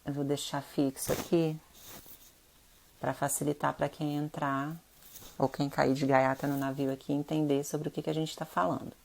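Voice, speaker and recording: A woman's voice: low-pitched, little pitch movement, moderate pace, vocal fry, darkish tone, a little hiss or hum. A woman with a Brazilian Portuguese accent, nasal from rhinitis, hosting a casual live stream about interior design and chatting directly with her viewers.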